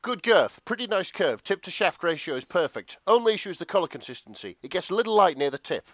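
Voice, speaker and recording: A man voicing a sultry Scottish celebrity chef who judges food gravely in a comic story reading. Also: high voice, very animated, fast, fairly bright tone, no echo, voice pushed up, exaggerated voice. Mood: appraising. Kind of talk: fan fiction read-aloud, comic.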